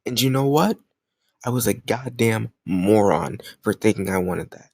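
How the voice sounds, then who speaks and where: A man's voice: fairly low pitch, lively intonation, unhurried, creaky, darkish tone, slightly rough, dry recording, studio quality, heavily acted. A man playing a jealous, stubborn young lover in an intimate romantic audio roleplay, speaking emotionally and insistently in casual language. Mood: frustrated confession. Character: jealous, stubborn young male lover confessing his love.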